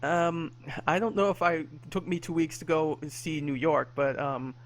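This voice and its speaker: A man's voice: on the high side, steady tone, normal speed. A man co-hosting a podcast in casual chat, hesitant, full of fillers and self-corrections. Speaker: podcast co-host.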